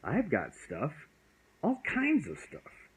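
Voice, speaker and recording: A man's voice: a little high, huge pitch swings, slow, voice pushed up, heavily acted, poor audio. A man voicing a timid but eager little boy in a bedtime storybook. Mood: eager, happy.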